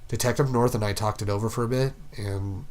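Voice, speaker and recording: A man as a low-voiced, sober police detective. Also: fairly even intonation, brisk, lightly acted. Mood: sober, reluctant. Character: stern but regretful male police detective, measured and sincere. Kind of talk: dramatic audio drama acting.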